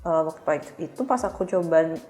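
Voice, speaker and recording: A woman's voice: low-pitched, expressive, normal speed, a little mellow, faint music behind. A young woman, chatting candidly and self-deprecatingly as she tells her own story as a podcast guest. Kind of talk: casual personal storytelling.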